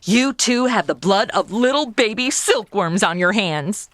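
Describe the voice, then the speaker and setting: A woman's voice: medium pitch, lively intonation, unhurried, a bit bright. A woman playing a chatty, oblivious, sassy modern young woman in a comedy audio drama, with flippant, slangy delivery. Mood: smug, righteous.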